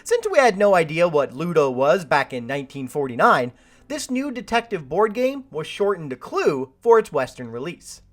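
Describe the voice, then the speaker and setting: Scripted video essay narration, lively swooping intonation, wry, joking asides, soft background music. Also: mid-range voice, moderate pace.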